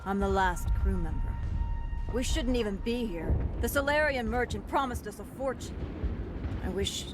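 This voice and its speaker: A woman's voice: fairly high pitch, normal speed, natural voice. Actress as weary, guarded spacefaring crewwoman, music behind. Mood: weary, resigned. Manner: hesitant, evasive, resigned. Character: weary, guarded freighter crew survivor, woman. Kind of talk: naturalistic video game dialogue.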